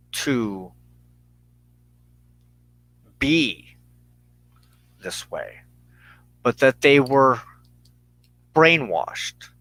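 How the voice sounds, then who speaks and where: American guy doing an earnest monologue, really slow w big pauses, sharp voice, dry sound. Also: medium pitch, dry recording, rough recording. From United States. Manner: deliberate, halting phrases with emphatic pauses. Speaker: host of a weekly religious broadcast. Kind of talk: earnest personal monologue to camera.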